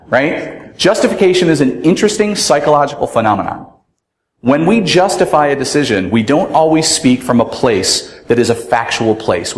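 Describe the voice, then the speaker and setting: A man with a very loud voice giving a conference talk, animated and rhetorical, often asking the audience "right?". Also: medium pitch. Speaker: conference speaker. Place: large conference room. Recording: echoey hall with long reverb.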